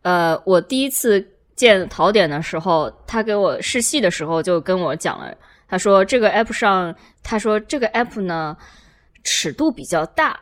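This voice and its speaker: Female podcast host, mid-pitched, highly varied intonation, relaxed chat format. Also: moderate pace. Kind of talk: casual chat.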